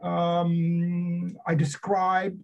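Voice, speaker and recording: An older man from Romania giving a seminar talk about his memoir over a video call, pausing often with fillers. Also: sits high, completely flat delivery, vocal fry. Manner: hesitant, many fillers. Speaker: seminar speaker, author. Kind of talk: academic talk. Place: home study with bookshelves. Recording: dull lo-fi video call audio.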